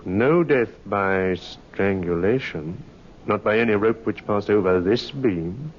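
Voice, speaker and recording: A man's voice: lowish voice, expressive, takes it slowly. An actor playing a methodical police inspector in an old radio drama, businesslike and pointed in his questioning. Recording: muffled, noisy vintage radio recording.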